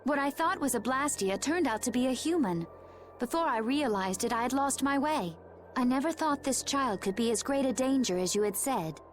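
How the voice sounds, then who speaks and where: A woman playing a composed, resolute young warrior woman in a video game, speaking in a poised, measured, earnest way. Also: high-pitched, expressive, slight background noise. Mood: remorseful.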